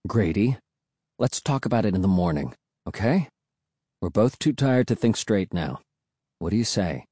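A man voicing a calm, grim and firm father in an audiobook story, speaking in a quiet, measured way. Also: on the low side, slightly rough, no echo, studio quality. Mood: soothing.

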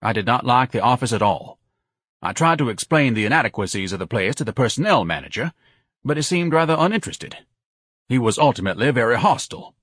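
A man's voice: medium pitch, sing-song, fast talker, fairly bright tone, breathy edge, dry recording, clean studio sound, pitched above the performer's own voice. A man voicing a haughty, self-pitying grown son who declaims in grandiose, over-articulate phrases, as an audiobook character. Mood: disdainful.

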